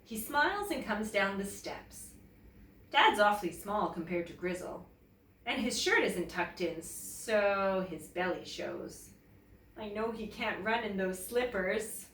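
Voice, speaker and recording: A woman from Canada reading to children as a teacher, telling a story expressively and deliberately and putting questions to her listeners. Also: medium pitch, animated, a bit bright. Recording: roomy, distant mic, faint noise.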